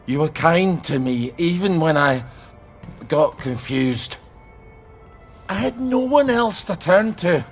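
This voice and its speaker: A slow, troubled, blunt truth-teller. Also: male voice, on the high side, lively intonation, soft background music. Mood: pleading. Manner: earnest, blunt. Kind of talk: video game character acting.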